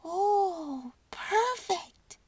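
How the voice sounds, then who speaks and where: A woman voicing a tiny, excitable, tender-hearted male mouse with a squeaky, expressive voice in a children's story. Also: very slow, dry recording, full character voice. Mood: delighted.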